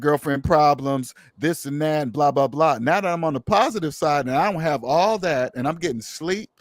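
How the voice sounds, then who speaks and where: A man's voice: a little high, no room sound, clean studio sound. A man hosting a casual conversation, speaking emphatically and preachily, repeating phrases for stress. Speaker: podcast host.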